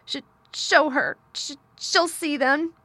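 Storybook child role: woman as hysterical sickly boy, high-pitched, choked, gasping, wailing through sobs. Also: slow pace, pushed and bright, heavily acted. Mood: hysterical, despairing. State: sobbing, choked, out of breath.

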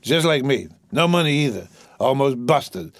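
Man reading as a drunk, giggly rich boy, slurring and lurching, higher and louder than his narration, dry close sound. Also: expressive, normal speed, no echo, lightly acted. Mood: tipsy. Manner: slurred, laughing, lurching speech. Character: drunk rich young man, about eighteen, merry.

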